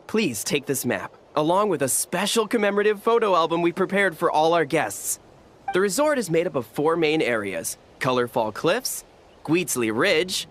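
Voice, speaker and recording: A courteous resort receptionist from a video game, with a lightly high-pitched voice that rises and falls a great deal. He speaks in formal, helpful customer-service phrases with music playing behind. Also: fairly bright tone. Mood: welcoming. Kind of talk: scripted video game dialogue.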